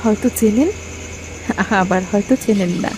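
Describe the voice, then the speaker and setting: An actress as a mysterious young village woman in a cartoon tale. She speaks politely and enigmatically at a medium pitch, with clear night-insect noise around her. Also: moderate pace, natural voice. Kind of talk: cartoon story dialogue.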